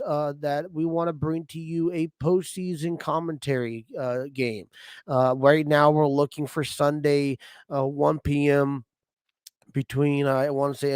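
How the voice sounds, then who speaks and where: A man hosting a sports podcast in a casual, rambling way with many fillers, his voice slightly hoarse from a cold. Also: mid-range voice, darkish tone, no echo, clean studio sound. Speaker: podcast host.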